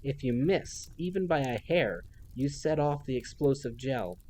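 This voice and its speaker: A man's voice: mid-range voice, expressive, a little slow, darkish tone, a little hiss or hum, lightly acted. A man voicing a snide, childlike female villain who mocks and gloats in a theatrical sing-song. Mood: mocking.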